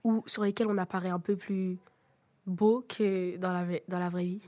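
A teenage girl, a student, calmly explaining things in a school podcast. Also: fairly low pitch, normal speed. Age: teens.

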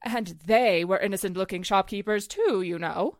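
A woman's voice: mid-range voice, normal speed, no room sound, clean studio sound, natural voice. A woman acting a chatty, coy small-town 1940s housewife who loves gossip, in a radio drama. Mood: defensive.

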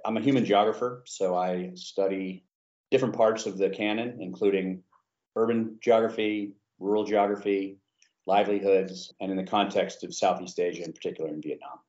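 A man senior lecturer introducing himself on a podcast in a calm, matter-of-fact way. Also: low-pitched, normal speed, echoey room, dull audio. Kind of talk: casual self-introduction.

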